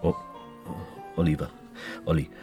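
An actor playing a timid, nervous young private detective in a radio crime drama, stammering and hesitant. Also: male voice, measured pace, mellow, faint music behind, lightly acted. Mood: shy, nervous.

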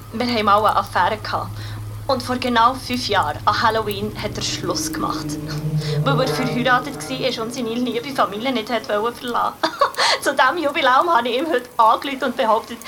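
Casting: female actor as vengeful ex, light high voice, gleeful and smug, radio horror drama. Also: close to an everyday voice. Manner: chatty, smug, giggling. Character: gleefully vengeful jilted ex-lover telling her revenge. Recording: music bed behind voice message.

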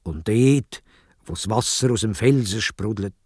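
A man with a Swiss German accent voicing a friendly god who gives slow, solemn instructions in a children's story. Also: fairly low pitch, darkish tone, breathy edge, no echo, clean studio sound. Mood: kindly, solemn. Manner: slow, deliberate, kindly.

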